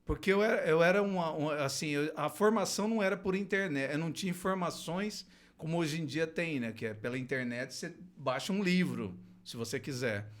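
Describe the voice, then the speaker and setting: A man's voice: a little high, a little fast. Chatty Brazilian man telling his story. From Brazil, Londrina. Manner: hesitant, many restarts and fillers, laughs easily. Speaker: guest, clown and theater teacher. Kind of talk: casual storytelling interview.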